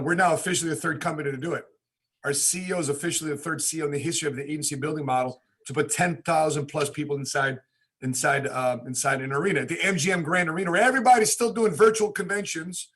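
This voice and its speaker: A man's voice: on the high side, quick pace, fairly bright tone, husky. An American man hosting a podcast, talking casually and repeating phrases for emphasis. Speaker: podcast host.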